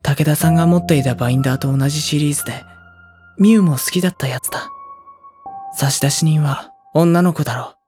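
A man's voice: on the high side, fairly quick, a little mellow, soft background music, close to an everyday voice. An actor playing an anxious, introspective teenage schoolboy, narrating his brooding inner thoughts in a Japanese audio drama. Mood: tense, brooding.

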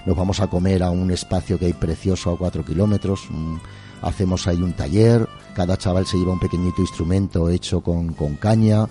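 Deep, mellow man chatting effusively. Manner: effusive, colloquial, rambling. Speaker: interview guest, museum keeper and musician. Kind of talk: casual interview chat.

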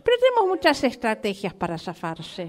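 A woman's voice: on the high side, huge pitch swings, a little fast, some chatter behind. A woman with an Argentine accent voicing a chatty, sentimental mother cockroach in improvised comic radio theatre, repeating herself coyly. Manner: repetitive, coy, hesitant, conversational asides.

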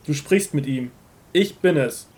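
Man playing authoritative prophet and teacher, fairly high male voice, solemn, measured, emphatic, Bible radio play. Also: darkish tone, lightly acted. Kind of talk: scripted audio drama of a Bible story.

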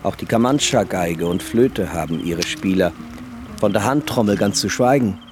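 A man's voice: deep voice, expressive, moderate pace. A male actor playing a practical, upbeat camp organiser in a radio drama. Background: music.

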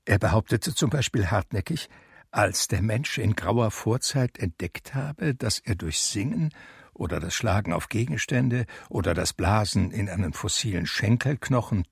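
An actor playing a wistful blind pianist who narrates his life in a radio drama, measured and reflective, with a gravelly voice. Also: on the low side, no room sound, clean studio sound, exaggerated voice. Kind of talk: literary first-person radio drama narration.

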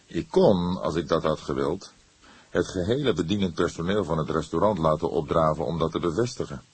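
A man plays an older police detective in an audiobook with a low, raspy voice. He is calm and wry, lecturing without hurry and with a note of disapproval. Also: fairly quick, no room sound, exaggerated voice. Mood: measured. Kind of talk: audiobook character voice.

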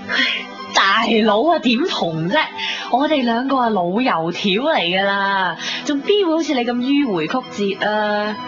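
A woman's voice: a little high, a little slow, few pauses. An actress playing a frank, worldly, teasing woman in a Hong Kong Cantonese radio drama, nagging with sighs and slang. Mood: blunt, exasperated. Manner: blunt, sighing, animated nagging with slang. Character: frank, worldly, teasing female friend scolding and helping. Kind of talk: 1990s radio drama acting. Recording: dull recording with music behind.

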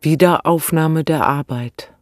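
An actress playing a weary, bleak inner voice in a literary radio play, speaking husky, clipped fragments. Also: female voice, low-pitched, unhurried, faint music behind. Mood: weary, detached.

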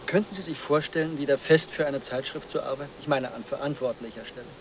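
An actor playing an officious, evasive conference organizer with a wordy, self-important manner in a radio drama. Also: male voice, medium pitch, fast, fairly bright tone, natural voice. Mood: confiding. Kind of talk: German radio drama dialogue. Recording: clear background noise.

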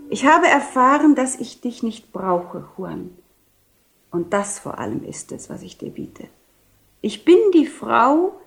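A woman playing a self-possessed widowed duchess with measured, solemn, theatrical delivery in a radio play. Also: fairly high pitch, gravelly, full character voice. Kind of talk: 1970 German radio play acting.

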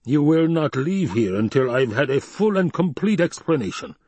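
A man's voice: mid-range voice, expressive, normal speed, darkish tone, breathy edge, dry recording, pitched above the performer's own voice. A man voicing a fat, scheming baron in an audiobook, pompous, blustering and petulant. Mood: irritated, dismissive. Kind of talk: dramatized audiobook reading.

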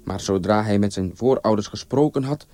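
A man's voice: on the low side, normal speed, warm tone. A man telling a story as a narrator, reading scripted narration.

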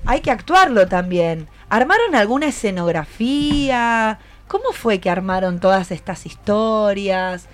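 A woman's voice: medium pitch, animated, measured pace. A woman with an Argentine accent hosting a live radio interview, encouraging her guests and asking them questions. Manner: encouraging, asks many questions. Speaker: radio show host.

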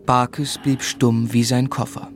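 Actor as an earnest, medium-voiced gentleman narrator. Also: mid-range voice, flat delivery, slight background noise, close to an everyday voice. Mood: sombre. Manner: measured, earnest, literary. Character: earnest, sensitive young gentleman recalling sad events. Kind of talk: scripted first-person radio play narration.

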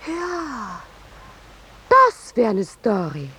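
An actress playing a glib, amused newspaper reporter with an arch manner in a 1950s German radio drama. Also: female voice, on the high side, wildly swooping pitch, drawn-out words, bright, forceful tone, husky, exaggerated voice. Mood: amused, mocking. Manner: arch, coolly flippant.

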